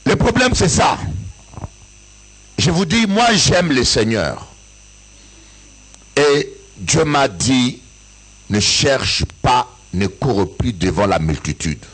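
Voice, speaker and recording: A bishop from Africa giving an impassioned church sermon, his voice rising in sing-song peaks and breaking into shouts. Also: medium pitch, very animated, takes it slowly, long pauses, husky, rough recording. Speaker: bishop and pastor. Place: church service with an audience.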